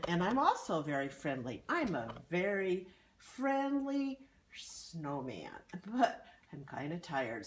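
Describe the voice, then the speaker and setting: A woman voicing a friendly male snowman who stammers softly and sounds sleepy. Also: mid-range voice, slight background noise. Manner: drowsy, stammering, soft.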